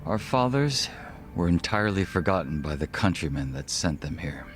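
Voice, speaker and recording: An actor playing a suave, guarded gang second-in-command in his thirties for a video game, speaking in a formal, measured, wry way. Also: sits low, sing-song, faint music behind. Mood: grave, reflective. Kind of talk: English video game voice acting.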